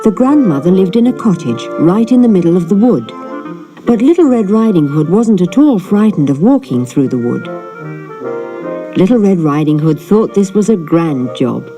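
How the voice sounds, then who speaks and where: A woman narrating a children's fairy tale in an expressive storytelling manner. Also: on the low side, moderate pace, over music, rough recording. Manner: animated storytelling. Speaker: storybook reader.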